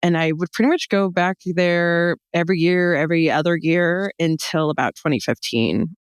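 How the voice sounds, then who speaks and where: A young American woman chatting casually as a podcast guest, telling humorous anecdotes in an animated, joking way and acting out bits of dialogue. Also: fairly low pitch, normal speed, clear, resonant tone, no echo, clean studio sound. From Texas, United States. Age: young adult. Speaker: podcast guest, comedy show founder.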